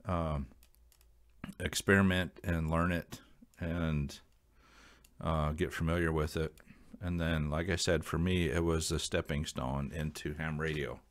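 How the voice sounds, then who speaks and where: A man's voice: rumbling low, fairly even intonation, unhurried. A man with an American accent giving a casual, unscripted explanation to viewers in a rambling, folksy, plain-spoken way. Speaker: video creator sharing radio hobby advice.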